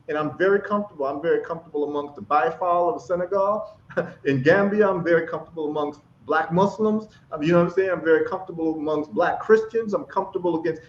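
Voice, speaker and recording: A man from Ghana, a guest in a casual chat, talking with passion and repeating phrases for emphasis. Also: fairly high pitch. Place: live stream call. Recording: echoey, dull lo-fi stream audio.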